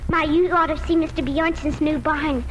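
Very high-voiced earnest little farm girl. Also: fairly even intonation, normal speed, a bit bright. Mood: curious. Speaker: radio drama actor. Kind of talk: 1940s radio acting. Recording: noisy old 1940s radio broadcast.

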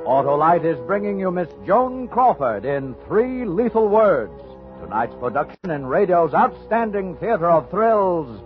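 Male 1950s radio pitchman, emphatic, very animated, highish raspy voice, tinny vintage broadcast. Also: a little slow. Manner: emphatic, polished sales delivery. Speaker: announcer and commercial pitchman. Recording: tinny old radio broadcast, music behind.

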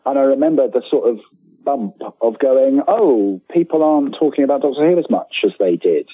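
Husky British man on a thin phone-like line. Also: mid-range voice, lively intonation, a little fast, no echo. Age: 40s. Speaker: guest author. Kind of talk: casual interview conversation. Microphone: remote call. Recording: thin phone-like call, dry.

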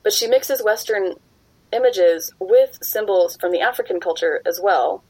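A young woman hosts a casual podcast in a mid-range voice, chatty and quick to laugh. Her recording is dry and close, and she keeps nudging the conversation back to the topic. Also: medium pitch, darkish tone, slightly rough, no room sound, poor audio. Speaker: podcast host. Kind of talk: casual chat.

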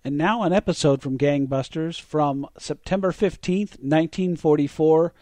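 Higher-pitched male interview host. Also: normal speed, warm tone. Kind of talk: podcast interview hosting.

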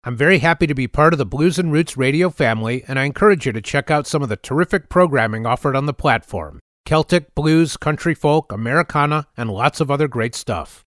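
A man's voice: mid-range voice, animated, brisk, few pauses, fairly bright tone, no echo, clean studio sound. A man hosting a folk music radio program, introducing tracks and giving station details. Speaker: radio host. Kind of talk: radio show links.

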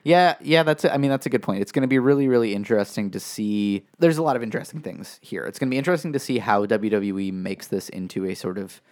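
Rambling low-voiced man chatting wrestling. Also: fast, hardly any pauses, darkish tone. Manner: rambling, restarts sentences, chuckles often. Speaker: podcast co-host. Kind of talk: casual wrestling chat.

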